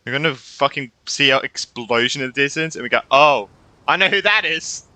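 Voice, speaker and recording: Excitable, very bright-voiced young man streamer. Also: mid-range voice, a little fast, dry recording. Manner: excitable, sweary. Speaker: video game streamer. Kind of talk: casual gameplay reactions. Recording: game music behind the voice. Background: game music.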